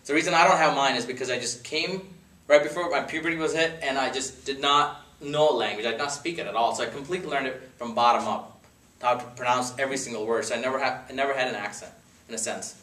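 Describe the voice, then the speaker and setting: A man's voice: medium pitch, quick pace, bright, forceful tone. Chatty man talking from across an echoey room. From India. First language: Gujarati. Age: 30s. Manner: chatty, rambling, joking. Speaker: speaker at a dinner talk. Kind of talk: casual personal talk to friends. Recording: echoey room, mic far off.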